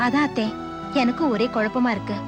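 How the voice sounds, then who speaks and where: Woman acting an anxious, high-voiced coaxing wife. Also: a little fast, music in the background, natural voice. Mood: concerned. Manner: coaxing, tentative, respectful. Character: anxious, deferential young wife of a film producer. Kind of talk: Tamil television serial acting.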